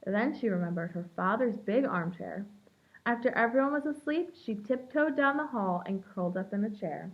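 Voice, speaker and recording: A woman reading a children's picture book aloud in an expressive storytelling manner, as a preschool teacher does for young children. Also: medium pitch, normal speed. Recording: dull lo-fi sound.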